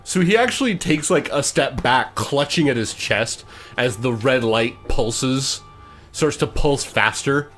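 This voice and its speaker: A man running a tabletop role-playing game as game master, improvising descriptive narration in a halting way. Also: mid-range voice, expressive, fairly bright tone, soft background music.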